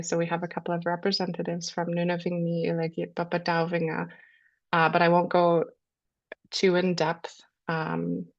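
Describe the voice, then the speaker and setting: A woman with a low voice and a cold, hosting a webinar and introducing its speakers in a measured way with frequent ums. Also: vocal fry. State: has a cold. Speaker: webinar host. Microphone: video call. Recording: lo-fi video call audio.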